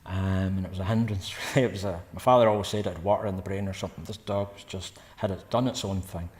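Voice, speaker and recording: A man in his fifties with a Scottish accent from Paisley, chatting casually between songs at a concert and telling stories in a brisk, rambling way. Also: deep voice, fairly even intonation, darkish tone. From Scotland. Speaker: singer-songwriter performing a concert. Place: church. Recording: long church reverb with guitar.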